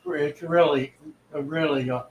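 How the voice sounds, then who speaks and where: An older man with a fairly high voice hosts a casual livestream, speaking slowly and unhurriedly in a folksy manner. His voice carries the echo of a live room with faint background noise. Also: fairly even intonation. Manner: folksy, easygoing, reads chat comments aloud. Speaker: livestream host, fish keeper.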